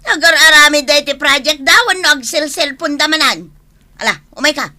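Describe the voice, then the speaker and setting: Shrill, exasperated nagging mother. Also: fairly quick. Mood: irritated. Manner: scolding, dramatic, loudly calling out. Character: exasperated, nagging mother and wife, short of money. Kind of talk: Ilocano radio comedy drama acting.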